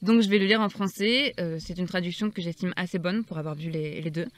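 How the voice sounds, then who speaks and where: A woman's voice: fairly low pitch, fairly quick. A woman hosting a poetry channel, talking reflectively and searching for her words as she goes. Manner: thoughtful, searching for words, soft-spoken.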